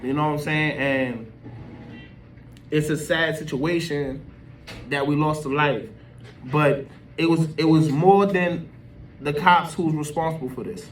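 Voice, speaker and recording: A man's voice: on the high side, fairly even intonation, unhurried, pauses often, a little hiss or hum. An American man giving an unscripted opinion in an emphatic, colloquial, repetitive way, as an online commentator. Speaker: social media commentator. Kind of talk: unscripted opinion rant to camera. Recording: voice distant from the mic.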